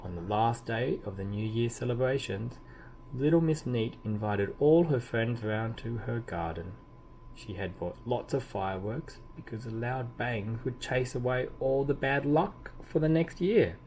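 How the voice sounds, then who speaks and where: A man reading a children's story aloud expressively, with deliberate emphasis and drawn-out key words. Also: medium pitch, darkish tone, rough recording. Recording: clearly audible background noise.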